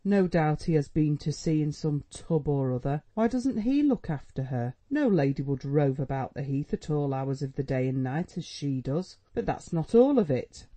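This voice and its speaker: A man's voice: fairly high pitch, sing-song, normal speed, few pauses, mellow. A man doing a scolding, tearful mother. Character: anxious, reproachful middle-aged mother, a woman. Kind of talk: audiobook dialogue reading.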